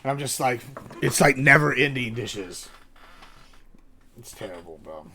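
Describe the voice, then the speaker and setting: A young woman hosting a casual podcast chat, loud and profane, calling people "bro". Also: very deep voice, animated, a little slow, slight background noise. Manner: loud, swearing, says "bro" a lot. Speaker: podcast host.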